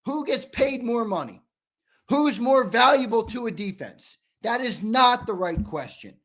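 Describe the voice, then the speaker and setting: A man hosting a live sports talk stream, reading viewer comments and arguing back, emphatic and repetitive, his voice going hoarse. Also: very high-pitched, expressive, measured pace, a bit bright. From United States. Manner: argumentative, emphatic, repeats himself for stress.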